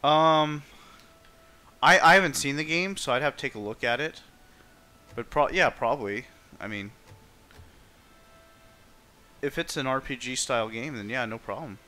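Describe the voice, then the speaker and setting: A man's voice: mid-range voice, moderate pace, sharp tone. A man streaming a game, chatting casually and informally, rambling a little as he thinks aloud. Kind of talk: casual livestream chat.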